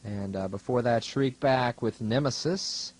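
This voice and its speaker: A man's voice: mid-range voice, a little slow, darkish tone. A young man working as a student DJ, talking casually between songs on college radio in a wry, rambling, self-deprecating way. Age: young adult. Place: radio studio.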